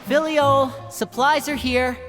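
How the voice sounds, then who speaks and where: A woman playing a calm, protective young older-sister agent in a video game, explaining things with composure. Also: high voice, takes it slowly, faint music behind. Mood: friendly.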